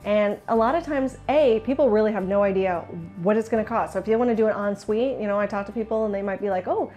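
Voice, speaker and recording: A woman with a Canadian accent speaks at a middle pitch in the manner of a candid business coach. Her delivery is chatty and informal, as in an instructional video. Faint music sits behind her voice. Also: fast, warm tone. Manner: chatty, candid, acts out client remarks. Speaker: business coach for interior designers.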